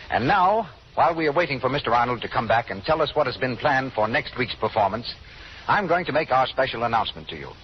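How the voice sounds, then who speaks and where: American man, radio announcer, very lively intonation, medium pitch, formal 1930s style, dull hissy recording. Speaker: program announcer.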